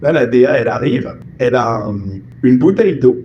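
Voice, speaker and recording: A man's voice: mid-range voice, animated, brisk, dull audio, a little hiss or hum. A man from France with family roots in Martinique, telling stories about voice dubbing in a casual interview, acting out the lines he quotes. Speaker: voice actor and singer, guest.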